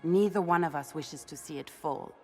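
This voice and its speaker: An actress playing a poised, formal aristocratic court schemer in a video game, grave. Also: female voice, low-pitched, moderate pace, faint music behind, natural voice. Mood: grave, measured. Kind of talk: video game character acting.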